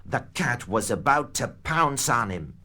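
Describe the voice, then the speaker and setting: A man narrating a scripted children's read-along story in an expressive, dramatic storytelling manner. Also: medium pitch, measured pace. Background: background music.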